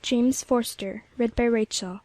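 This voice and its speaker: A woman's voice: on the high side, steady tone, unhurried, a little mellow, no room sound. A woman reading out cast credits as one of an audiobook's readers.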